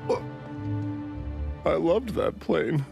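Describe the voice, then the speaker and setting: An actor playing a rugged, wry middle-aged adventurer uncle in a breezy manner for a video game. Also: male voice, on the high side, lively intonation, extremely slow, vocal fry, exaggerated voice. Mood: reluctant. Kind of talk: video game cutscene acting. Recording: music under the voice.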